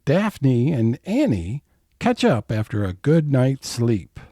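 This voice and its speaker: A man narrates an audio play from a script at an unhurried pace. His mid-pitched voice moves with lively inflection. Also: very noisy.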